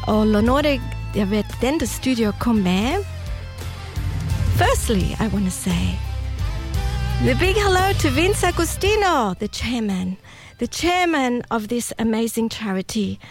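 Bubbly woman radio host. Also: fairly high pitch. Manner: warm, switches between Italian and English, laughs often. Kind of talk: bilingual community radio chat.

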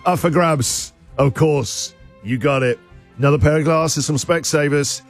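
A man's voice: fairly high pitch, measured pace, faint music behind. A middle-aged male radio host, playful and giggly, reading out listener puns in comic banter.

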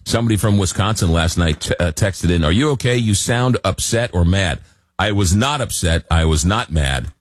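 A man's voice: low voice, animated, moderate pace, dry recording, clean studio sound. A man hosting a late-night radio talk show, rambling with many fillers and restarts while getting over a cold. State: recovering from a cold.

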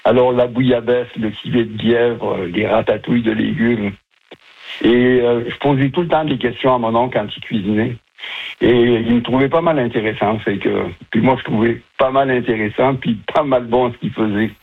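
A husky-voiced man in his seventies, from southern France, speaks over a muffled phone line. He is a retired chef giving casual, chatty interview answers in colloquial language, telling stories as he goes. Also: medium pitch, fairly even intonation, moderate pace. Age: 70s. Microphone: telephone.

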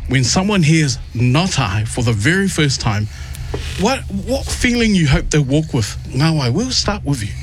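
A man's voice: a little high, big pitch swings, a little slow, faint music behind. A man hosting a radio show, interviewing musical guests in an effusive, rambling way.

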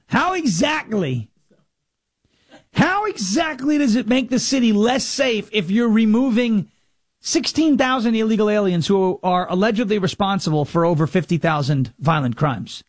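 Indignant high-voiced talk radio man. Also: lively intonation, normal speed, no echo, studio quality. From New York, Long Island. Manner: sarcastic, indignant, mocking impressions. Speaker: radio talk show host. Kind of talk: political talk radio monologue.

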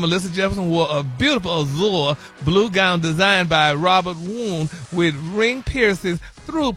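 A man puts on a campy gossip queen with a drawl, his voice pitched high and bright as he dishes celebrity dirt. He giggles between lines, calls everyone honey and baby, and stretches his vowels for drama. Faint music plays behind him. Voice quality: flamboyant, sassy, calls listeners honey and baby. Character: sassy campy gossip persona, male host. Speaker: celebrity gossip segment regular. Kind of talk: campy gossip chat on morning radio.

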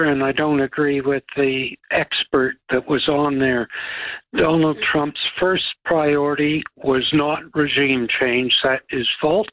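A man's voice: medium pitch, no room sound, muffled recording. A man calling a radio show, stating his views bluntly and firmly. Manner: blunt, firm, declarative. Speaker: caller. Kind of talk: talkback call.

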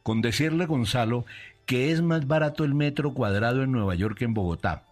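A man's voice: mid-range voice, moderate pace. A Colombian radio commentator, a man, reading a scripted satirical monologue in letter form. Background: music.

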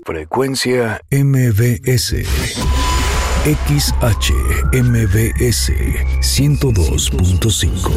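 A man with a Mexican accent reading scripted radio station announcements. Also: fairly low pitch. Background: loud music bed.

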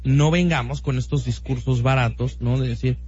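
A man's voice: medium pitch, steady tone, normal speed, darkish tone. A man from Mexico with a Mexican accent, a radio guest making an emphatic, opinionated case full of rhetorical questions. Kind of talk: casual radio talk. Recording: dull lo-fi radio sound with music.

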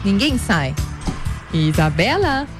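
A Brazilian woman co-hosts a live news show in a playful, bubbly way. Her voice bounces up and down. Music plays clearly underneath. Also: medium pitch, big pitch swings, slow. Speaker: news co-anchor.

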